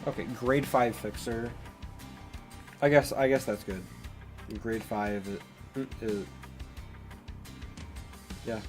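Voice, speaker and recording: A man's voice: medium pitch, slow, pauses often, soft background music. A young man live-streaming a game, chatting casually with lots of restarts and laughter while translating the lines.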